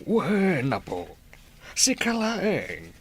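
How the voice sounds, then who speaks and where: An actor playing a gentle, indulgent old grandfather in an audio drama, with a husky voice and halting, placating speech. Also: on the high side, huge pitch swings, exaggerated voice.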